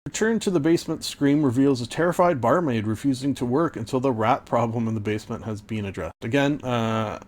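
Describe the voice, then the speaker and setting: A man's voice: mid-range voice, fairly quick, hardly any pauses, darkish tone. Rambling man recapping a tabletop game. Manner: rambling, many fillers and self-corrections. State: losing his voice. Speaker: podcast host and game master. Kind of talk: casual recap of a tabletop campaign.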